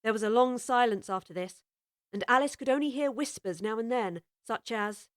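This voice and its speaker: A woman narrating a full-cast audiobook of a children's classic in a measured, expressive way. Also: on the high side, no echo, studio quality. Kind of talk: scripted storybook narration.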